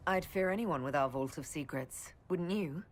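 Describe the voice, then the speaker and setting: A composed, low-voiced heroine. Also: female voice, normal speed.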